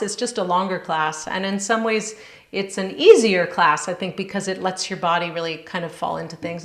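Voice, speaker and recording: A woman teacher answering questions in an informal, thoughtful, halting way, often checking with "right?", on a video call. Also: medium pitch, a bit bright. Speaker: yoga teacher. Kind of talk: informal question-and-answer chat after class. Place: indoor room on a group video call. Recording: roomy, echoing video call sound.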